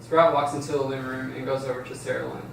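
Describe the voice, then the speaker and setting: A man's voice: medium pitch, flat delivery, fast, vocal fry. A man reading scripted stage directions aloud at a table read, sometimes stumbling and restarting. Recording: very echoey room, distant mic, background hiss.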